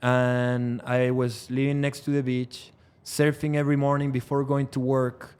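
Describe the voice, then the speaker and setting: A man's voice: mid-range voice, little pitch movement, unhurried, a little mellow, smooth. A man in his thirties from Chile, a Spanish native speaker with a Chilean accent, explaining things step by step as a guest in a podcast interview. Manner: thoughtful, explains step by step with many fillers and restarts. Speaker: trial lawyer, podcast guest. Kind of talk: casual interview chat.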